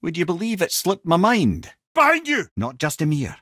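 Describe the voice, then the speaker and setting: Animated Scottish sage Mimir, wry storyteller. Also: male voice, sits high, moderate pace, slightly rough, dry recording, clean studio sound, full character voice. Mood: wry storytelling, then urgent warning. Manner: wry, eloquent, theatrical storyteller. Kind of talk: video game character dialogue and storytelling.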